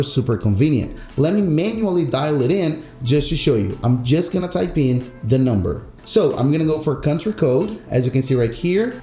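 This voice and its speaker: A man's voice: a little high, mellow, reverberant. A man hosting an instructional tech tutorial, explaining step by step in an emphatic, repetitive way. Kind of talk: instructional screen-recorded tutorial narration.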